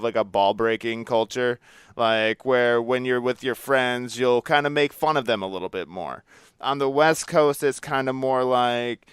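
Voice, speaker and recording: Midwest guy hosting a podcast, clean smooth voice, casual and agreeable, super dry sound. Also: medium pitch, a bit bright, dry recording. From United States, Midwest. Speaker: podcast host. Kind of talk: casual interview chat.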